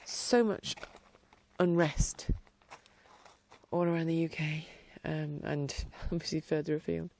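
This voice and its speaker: A rambling, chatty woman talking outdoors in her garden, her voice carrying a gravelly fry. She sounds a little blocked up in the nose and drifts into quieter stretches as she wanders through her thoughts. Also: low-pitched, flat delivery, takes it slowly. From England. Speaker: podcast host. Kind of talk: casual chat.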